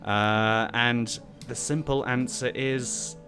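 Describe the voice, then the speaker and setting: A man's voice: mid-range voice, fairly even intonation, takes it slowly, music in the background. A man hosting a casual Q&A with friends, reading out viewer questions in a dry, teasing way.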